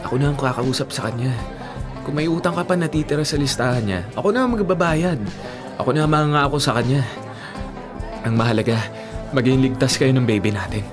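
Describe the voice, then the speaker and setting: Man acting as soft-hearted young husband, Filipino accent, earnest, expressive, radio drama, clear music bed. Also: mid-range voice, brisk, pauses often, natural voice. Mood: earnest, protective. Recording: music bed behind dialogue.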